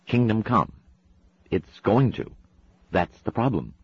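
A homely, motherly landlady, played by a man, lifting his deep voice higher and letting it swing. She chides gently and speaks in a husky tone. The sound is dull. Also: big pitch swings, slow, dry recording, full character voice. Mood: gently chiding.

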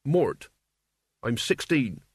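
A man's voice: medium pitch, big pitch swings, very slow, slightly rough, no echo, clean studio sound, exaggerated voice. A man voicing a naive, well-meaning teenage boy, blunt and artless, in an audiobook story. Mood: earnest, naive.

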